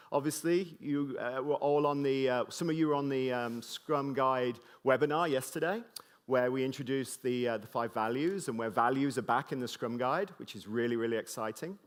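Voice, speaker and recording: A middle-aged British man with a slight mid-Atlantic tinge gives a conference keynote to a live audience. He jokes loosely and fills his talk with fillers and restarts. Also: medium pitch. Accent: British English with a slight mid-Atlantic tinge. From Britain.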